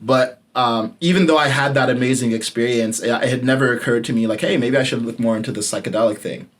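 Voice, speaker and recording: A man in his late twenties, a podcast host telling a personal story in a candid, casual way, swearing freely and dropping his voice low when he stresses a point. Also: fast. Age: late 20s.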